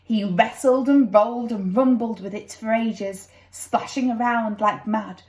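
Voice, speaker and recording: A woman's voice: on the high side, steady tone, a little slow, darkish tone. A woman reading a children's story expressively, with dramatic, playful narration, recorded in an echoey room. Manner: dramatic, playful with sound effects.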